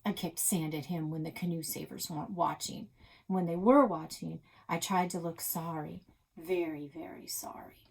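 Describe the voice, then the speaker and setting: A woman reads a children's novel aloud in a slightly low, husky voice. She narrates in the first person with expressive delivery, stretching out the words that matter. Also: moderate pace. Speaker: read-aloud reader for a public library.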